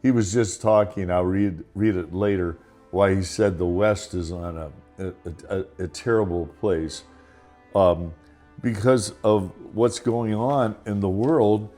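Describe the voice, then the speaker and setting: An older man with a very low voice talks in a rambling, conversational monologue. He keeps restarting and drifting into asides. Faint music plays softly behind him. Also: steady tone, a little slow. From Los Angeles, United States. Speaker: podcast host. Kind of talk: casual monologue.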